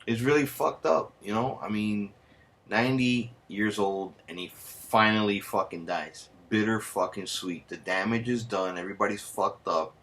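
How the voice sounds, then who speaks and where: A man's voice: fairly low pitch, moderate pace, fairly bright tone. A man from the United States, ranting heatedly and sarcastically on a vlog, swearing constantly and dropping in Spanish slang. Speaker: vlogger. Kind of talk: profane angry rant.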